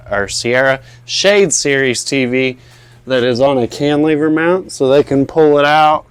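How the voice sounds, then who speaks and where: A man's voice: mid-range voice, clear, resonant tone. American male installer, informal explanatory vlog tour, clean resonant mid-range voice, recorded outdoors in a backyard. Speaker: audio-video installer presenting a finished project. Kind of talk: casual walkthrough vlog.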